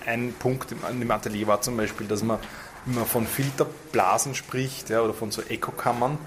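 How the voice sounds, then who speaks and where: A man's voice: mid-range voice, fairly even intonation, moderate pace. A man summing up a discussion in a spontaneous, conversational interview answer. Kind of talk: spontaneous interview summary of a workshop. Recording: noticeable ambient background noise.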